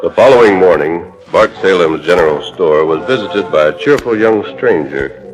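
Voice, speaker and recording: Male American announcer, lowish voice, sweeping intonation, grand vintage radio western narration. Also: lively intonation, moderate pace, over loud music, low-quality recording. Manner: grand, declamatory delivery. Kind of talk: 1940s radio scripted narration, dramatic. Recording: old 1940s radio transcription disc.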